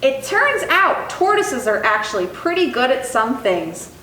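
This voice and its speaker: A woman reading a children's picture book aloud in an expressive, animated storytelling style. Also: sits high, unhurried. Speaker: storytime reader. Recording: roomy echo, distant mic, faint noise.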